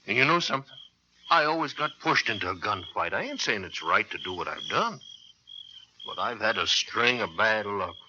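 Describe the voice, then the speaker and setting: Male actor: self-pitying Old West prisoner, needling drawl, lowish voice, muffled 1950s radio sound. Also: lively intonation, normal speed, natural voice. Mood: needling, self-justifying. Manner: needling, uneasy, rough rural drawl and grammar. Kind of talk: 1950s radio western acting. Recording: muffled, noisy vintage radio recording.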